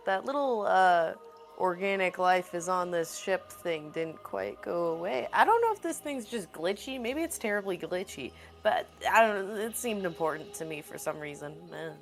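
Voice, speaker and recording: Casual woman gamer, medium pitch, faint music. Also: expressive, normal speed. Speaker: tabletop game player. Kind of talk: casual playful game chat. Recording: music under the voice.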